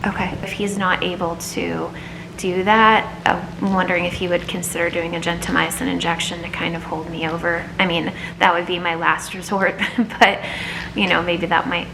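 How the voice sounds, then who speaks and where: A woman's voice: lowish voice, little pitch movement, moderate pace, gravelly. A woman talking candidly in a personal vlog, earnest and confiding, as if thinking aloud. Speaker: vlogger. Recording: echoey room, faint background noise.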